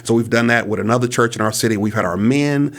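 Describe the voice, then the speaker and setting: A man, a pastor speaking as a guest on a panel discussion, talking in an earnest, measured way and building his points through repeated phrases. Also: mid-range voice.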